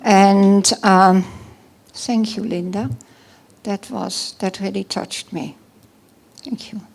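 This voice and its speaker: A middle-aged woman from the Netherlands with a Dutch accent, giving a personal testimony at a church service in a halting way, with many pauses and restarts. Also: mid-range voice, steady tone, creaky, darkish tone.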